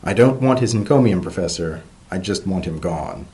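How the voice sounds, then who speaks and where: A man's voice: deep voice, expressive, moderate pace, mellow. A man playing a weary, grumpy male pony bureaucrat with a dry, exasperated manner in a storybook reading. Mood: annoyed, dry.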